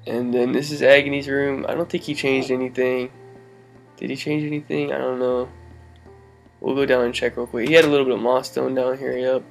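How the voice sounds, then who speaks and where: A man's voice: medium pitch, level tone, brisk, creaky, faint music behind. Laid-back young man touring his game world. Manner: rambling, laid-back, many fillers and laughs. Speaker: gaming channel host. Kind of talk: casual game walkthrough commentary.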